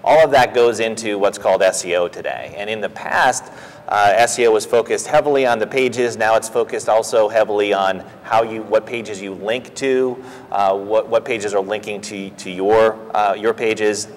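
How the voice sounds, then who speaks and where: A man, a company president, explaining a technical topic in a steady, businesslike interview answer. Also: fairly low pitch, normal speed. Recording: reverberant room.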